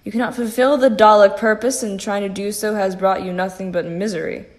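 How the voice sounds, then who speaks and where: A woman playing a composed phantom in a sci-fi audio drama, reasoning in a measured, pointed and persuasive way. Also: medium pitch, fairly even intonation, faint music behind, close to an everyday voice. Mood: earnest, challenging.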